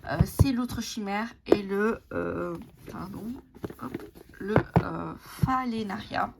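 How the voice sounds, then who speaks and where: Woman, Italian native, card-deck vlogger, halting and filler-heavy, mid-pitched voice, audible background noise. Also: gravelly. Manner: hesitant, many fillers and restarts. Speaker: tarot and oracle deck reviewer. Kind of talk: casual show-and-tell vlog.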